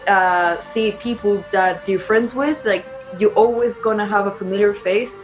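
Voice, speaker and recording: A woman in a band chatting casually in an interview, telling stories in an animated way and acting out what people said. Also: mid-range voice, normal speed, highs cut off. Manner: chatty, acts out reported speech. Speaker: band musician being interviewed. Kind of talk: casual chat, animated storytelling. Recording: music playing behind the voice.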